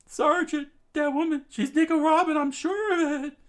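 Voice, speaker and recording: A man voicing an excitable male soldier who explains things in a high, breathless rush. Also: a little fast. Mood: excited.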